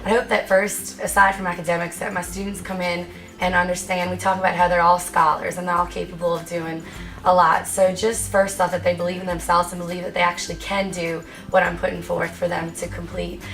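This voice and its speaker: A woman's voice: lowish voice, level tone, fairly quick, fairly bright tone. A woman who teaches fourth grade, giving earnest, explanatory interview answers about her teaching.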